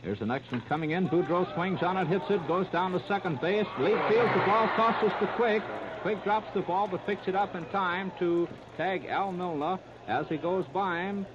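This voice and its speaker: Male 1930s American radio baseball commentator, higher-pitched voice, clipped delivery, heavy noise on a dull old disc. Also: normal speed. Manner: clipped present-tense running description. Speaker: baseball play-by-play announcer. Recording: muffled, very noisy 1939 radio disc.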